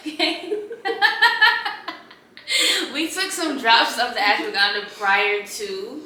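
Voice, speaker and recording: A woman's voice: a little high, lively intonation, slow pace, pushed and bright. A young woman co-hosting a podcast in a laid-back, slangy casual chat, often laughing. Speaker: podcast co-host. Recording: echoey room, mic at a distance, faint background noise.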